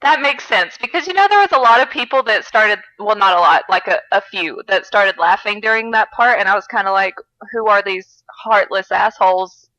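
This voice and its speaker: A woman's voice: medium pitch, animated, normal speed, hardly any pauses, sharp tone, low-quality recording. A woman co-hosting a film podcast, chatting casually in an informal, wry way. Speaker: podcast co-host.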